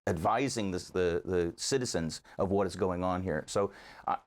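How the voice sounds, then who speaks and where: A man with a fairly low voice speaks as a guest in a conversational interview. He comes across as an earnest local advocate, setting out his argument one point at a time. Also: moderate pace.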